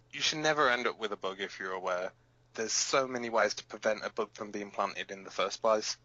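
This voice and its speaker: A man acts out a hot-headed resistance fighter on a rebel radio drama. The voice is forceful and a little low, with blunt, emphatic, salty delivery. The sound is dry and slightly dull. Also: no echo. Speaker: radio broadcast host. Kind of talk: amateur audio drama as underground radio broadcast.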